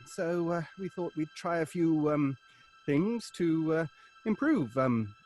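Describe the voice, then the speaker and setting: A man's voice: a little high, lively intonation, slow, darkish tone, faint music behind. An actor playing a flustered, kindly man in a theatre troupe, stammering and hesitant, in an audio horror drama. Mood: flustered, evasive.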